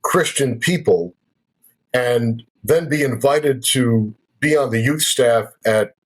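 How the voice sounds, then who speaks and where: A man in his sixties, a former radio broadcaster, telling his life story as an interview guest in a reflective, unhurried way. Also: mid-range voice, breathy edge, rough recording. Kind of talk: personal testimony in conversation.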